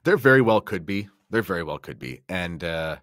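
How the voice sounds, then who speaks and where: A man's voice: sits low, lively intonation, normal speed, fairly bright tone, no room sound, clean studio sound. A man talking casually and emphatically as he explains religious material on a podcast. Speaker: podcast guest discussing religion. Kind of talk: casual explanatory talk with slides and notes.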